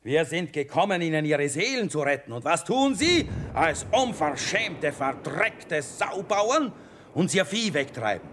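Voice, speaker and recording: An actor playing a pompous, blustering army commander in a theatrical radio play, declaiming grandly with false piety. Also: male voice, on the high side, sing-song, unhurried, noisy background. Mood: jovial, pompous.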